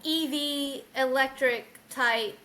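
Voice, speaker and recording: High-voiced woman on a book chat, bright tone, talks with conviction. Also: slow pace. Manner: enthusiastic, firm, storytelling. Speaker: podcast co-host. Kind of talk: casual book club chat.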